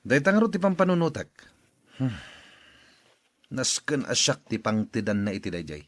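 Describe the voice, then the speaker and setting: A man's voice: mid-range voice, moderate pace, dry recording, close to an everyday voice. Man playing a scheming, swooping eldest son. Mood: discontented. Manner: calculating, chuckling, expressive. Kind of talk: radio drama acting.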